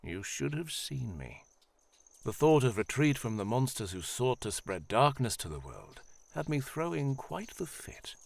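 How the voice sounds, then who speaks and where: A man playing an elderly high priest in a fantasy audio drama, kindly and solemn, speaking in a formal, measured, reassuring way. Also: big pitch swings, creaky, full character voice. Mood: solemn, reflective.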